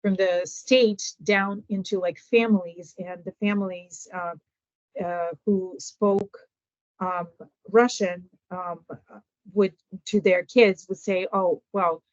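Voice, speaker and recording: A middle-aged woman from Ukraine, a native Ukrainian speaker, talking casually as an interview guest and pausing often with fillers and restarts. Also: mid-range voice, fairly even intonation, no room sound. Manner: hesitant, many fillers and restarts. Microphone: video call. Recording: dull lo-fi video call audio.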